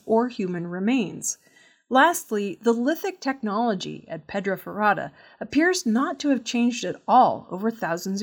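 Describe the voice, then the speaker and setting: A woman presents scripted news in a medium-pitched voice. Her delivery is measured, and she pronounces technical terms with care. It sounds like a prepared narration read by a news presenter. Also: husky. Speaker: news reader.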